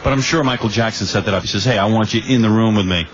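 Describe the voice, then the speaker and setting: Brash, sarcastic radio host with a low voice. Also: male voice, very fast. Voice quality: slightly nasal. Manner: brash, sarcastic, self-absorbed joking. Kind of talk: casual morning radio banter. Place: crowded event room with radio stations. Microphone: studio microphone. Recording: dull lo-fi broadcast tape with faint room noise.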